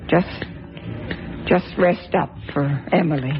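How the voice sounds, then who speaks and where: A woman playing a stubborn, feisty old spinster who scolds in folksy, sharp-tongued turns of phrase. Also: fairly low pitch, takes it slowly. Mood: scolding. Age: older. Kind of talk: radio drama acting. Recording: dull recording under loud music.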